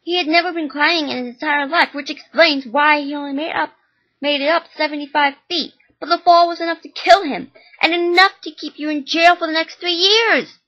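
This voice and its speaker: A woman's voice: a little high, expressive, normal speed, slightly rough. A woman voicing an agitated mother who scolds her teenage son, harsh and exasperated, on a dull lo-fi recording. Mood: yelling.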